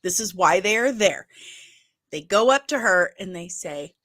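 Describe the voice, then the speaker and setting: A woman's voice: mid-range voice, expressive, a little slow, fairly bright tone, no room sound. Chatty nasal woman hosting a livestream. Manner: chatty, emphatic, with asides and laughs. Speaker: pop culture news host. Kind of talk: casual livestream chat.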